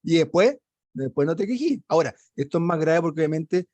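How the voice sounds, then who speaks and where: A man's voice: fairly high pitch, animated, fast talker, a little mellow, dry recording, dull audio. A Chilean man on a radio panel, emphatic and colloquial, throwing in warning asides.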